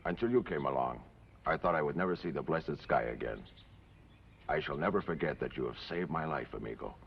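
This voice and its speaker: An actor playing a grateful, wry Mexican outlaw of about forty-five in an old TV western, speaking formally and floridly with a Mexican accent. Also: male voice, a bass voice, lively intonation, fairly quick, breathy edge, heavily acted. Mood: relieved, grateful. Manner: florid, formal speech sprinkled with Spanish. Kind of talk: 1950s television western acting. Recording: muffled, noisy old TV western soundtrack.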